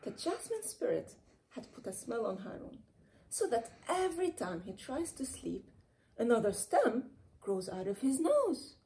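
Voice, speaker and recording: Woman, children's read-aloud, higher pitch, very lively intonation, playful emphasis, some background noise. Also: unhurried, rough recording. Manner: expressive, dramatic storytelling with playful emphasis. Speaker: children's author reading her own book aloud. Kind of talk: animated storybook read-aloud.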